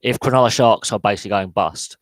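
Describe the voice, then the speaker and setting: A man's voice: lowish voice, quick pace, no room sound, rough recording. A man hosting a live podcast Q&A, talking through opinions with lots of fillers and restarts. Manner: opinionated, answers chat live, many fillers and repeated words. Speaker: podcast host.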